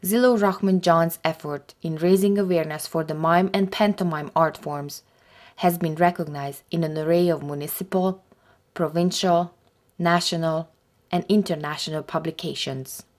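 A woman reading scripted documentary narration in a steady, formal way. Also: fairly low pitch, moderate pace.

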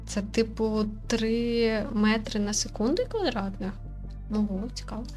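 A woman's voice: a little high, unhurried, a little mellow, faint music behind. Woman casually commenting on a game. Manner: musing aloud, questioning. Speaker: gaming video host and commentator.

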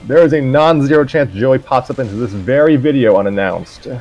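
A man co-hosting a show in a deadpan manner, mixing scripted announcements with casual chat. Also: medium pitch, fairly quick, lo-fi sound, soft background music. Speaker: co-host of a story-reading show.

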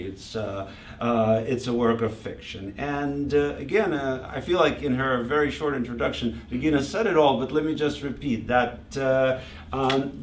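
A middle-aged man from Russia speaks as an author at a book reading, addressing a small audience informally with deliberate, halting phrasing and emphatic repetition. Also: mid-range voice. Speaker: author presenting his book. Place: small library meeting room, indoors. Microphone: distant camera microphone. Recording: roomy, distant microphone with background noise.

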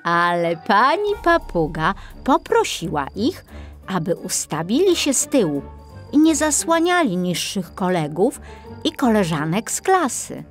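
A woman's voice: medium pitch, sing-song, unhurried, faint music behind. A woman narrating a scripted children's story.

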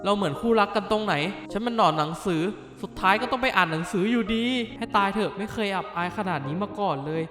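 A man voicing a bookish, easily flustered teenage schoolboy in an animated dub, grumbling to himself. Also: high-pitched, quick pace, few pauses, soft background music. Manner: flustered, grumbling inner monologue. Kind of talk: anime dub acting.

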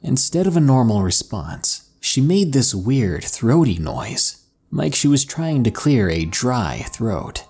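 A man reading first-person horror stories in a slow, hushed, dramatic storytelling manner. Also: medium pitch, very animated, slightly rough. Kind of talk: scripted horror narration. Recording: faint music underneath. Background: soft background music.

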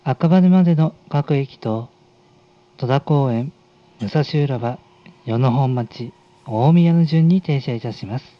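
Slow, formal male train conductor. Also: mid-range voice. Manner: formal, unhurried conductor delivery. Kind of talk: on-board train announcements. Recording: dull recording over train speakers.

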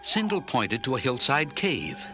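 A man's voice: mid-range voice, big pitch swings, unhurried, over music. A man narrating a children's story in a scripted, dramatic style.

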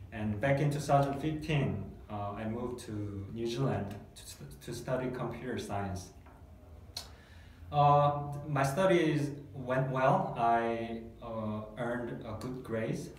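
Hombre coreano que habla con muchas pausas y titubeos, en una sala con eco. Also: male voice, medium pitch, darkish tone. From South Korea. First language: Korean. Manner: hesitant, many fillers and restarts. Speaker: guest. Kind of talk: testimonial interview. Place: small dark meeting room, seated at a table. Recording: echoey room, mic far off, faint hiss.

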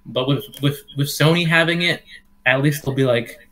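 A man's voice: fairly high pitch, moderate pace, pushed and bright, rough recording. A young man chatting casually with lots of filler words, on a headset webcam call from his bedroom. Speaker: podcast co-host. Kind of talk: casual chat.

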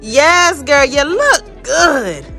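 Woman, 30s, chatty vlogger, phone held at arm's length, a little high-voiced, music clearly audible under her. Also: sing-song, drawn-out words, bright, forceful tone. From Atlanta, United States. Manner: chatty, bubbly, addresses viewers as y'all. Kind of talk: casual vlog chat to camera. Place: office turned party venue, indoors.

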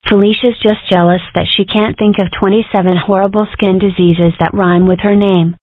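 A woman's voice: medium pitch, steady tone, moderate pace, dry recording, natural voice. Phone-like woman as a defiant cartoon fox girl. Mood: defensive. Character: excitable, guilt-ridden, defiant young girl fox. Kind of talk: comic strip cartoon dub. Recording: thin, band-limited, phone-like sound.

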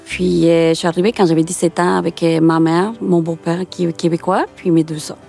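Peruvian-born woman in her 30s, low gravelly voice, chatty with hesitations, faint music. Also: little pitch movement, fairly quick, mellow. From Peru. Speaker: interviewee. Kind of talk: casual interview. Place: outdoors in a garden.

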